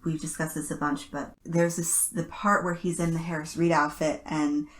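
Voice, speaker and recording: Woman, slightly low voice, podcast host, casual analytical chat, thoughtful, halting delivery. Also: little pitch movement.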